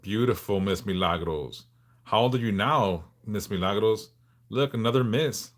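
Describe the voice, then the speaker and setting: A man in his forties from Southern California, hosting a casual livestream and answering viewers in a frank, laid-back way with halting restarts. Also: lowish voice. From Los Angeles, California. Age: 40s. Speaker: livestream host.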